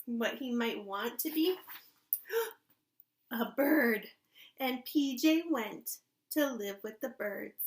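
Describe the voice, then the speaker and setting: Kindergarten teacher lady doing a read-aloud, high voice, slow, stops to ask the kids questions. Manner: expressive, pausing to ask listeners questions. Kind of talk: storybook read-aloud for young children.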